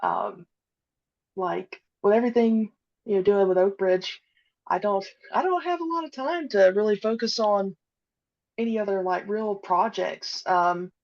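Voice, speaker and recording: A woman being interviewed about her audio drama, speaking hesitantly with many pauses and fillers. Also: medium pitch. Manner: hesitant, many ums and uhs. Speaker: podcast guest, audio drama creator. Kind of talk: casual interview chat. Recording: dull lo-fi audio.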